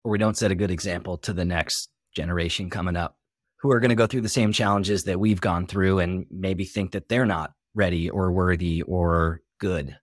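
A man's voice: sits low, fairly even intonation, moderate pace, no room sound, studio quality. A man hosting a podcast interview, reflecting on and summing up what his guest says. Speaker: podcast host. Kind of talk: conversational interview.

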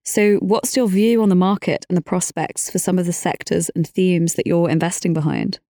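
A woman's voice: lowish voice, fairly even intonation, moderate pace, dark tone, no room sound, clean studio sound. A woman hosting a business interview, putting prepared questions in a polite, articulate, professional way. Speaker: interviewer, podcast host.

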